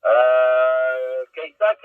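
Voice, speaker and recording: A man chatting casually over CB radio, halting and searching for his words. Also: mid-range voice, expressive, clear, resonant tone, dry recording. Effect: CB radio transmission. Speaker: CB radio operator. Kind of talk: casual two-way radio chat. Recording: tinny narrow-band CB radio.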